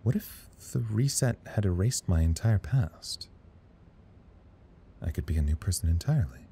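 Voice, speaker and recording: An actor playing a wryly philosophical staffer at a trapped research site, musing dryly in an audio drama log. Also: male voice, low-pitched, lively intonation, moderate pace, dark tone, a little hiss or hum. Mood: pensive. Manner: musing, dry, wry.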